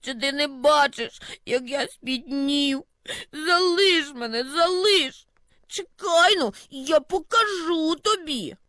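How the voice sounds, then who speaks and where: A woman voicing a grumpy, bossy old snowdrift who grumbles gruffly at everyone. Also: sits high, lively intonation, slow, pushed and bright, no echo, voice pushed up, full character voice. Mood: whining.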